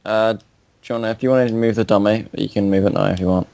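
A man's voice: fairly low pitch, fairly even intonation, fast talker, gravelly, darkish tone, no room sound. A man rambling in a gaming voice chat with friends, piling on fillers and casual swearing. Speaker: gamer in voice chat. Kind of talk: casual voice chat while playing.

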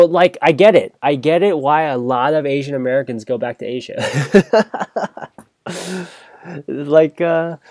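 A man's voice: mid-range voice, darkish tone, dry recording, studio quality. A young man co-hosting a podcast, telling a long casual story with lots of fillers and restarts. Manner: rambling, many fillers and restarts. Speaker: podcast co-host.

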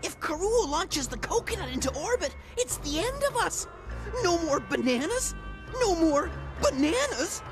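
Very high, scrappy little monkey sidekick. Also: female voice, big pitch swings, a little slow, music in the background, full character voice. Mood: alarmed, urgent. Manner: scrappy, urgent, exclamatory. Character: small, excitable young male monkey sidekick. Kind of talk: animated cartoon character acting.

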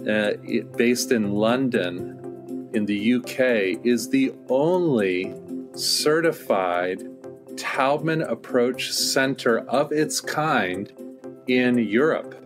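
A man speaks as a podcast host, in the manner of a prepared introduction or interview. His pitch is average for a man and his pace is slow. Music plays clearly behind him.

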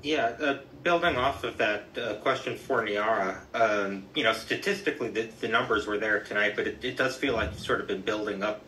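A man's voice: lowish voice, fairly quick, few pauses, pushed and bright, a little hiss or hum. A man reporter asking a long, rambling question with fillers at a press conference. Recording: echoey room, voice from far off.

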